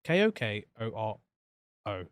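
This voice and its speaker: A man co-hosting a podcast, animated and quick to laugh, his voice dropping low at phrase ends. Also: clear, resonant tone, no room sound, studio quality. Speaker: podcast co-host. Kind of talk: casual chat.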